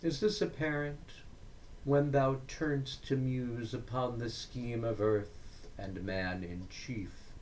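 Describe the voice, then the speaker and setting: Slow, deliberate man reciting poetry. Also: medium pitch, steady tone, darkish tone, a little hiss or hum, poor audio. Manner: deliberate, declamatory, frequent pauses. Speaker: poetry reader. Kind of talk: dramatic monologue poetry recitation.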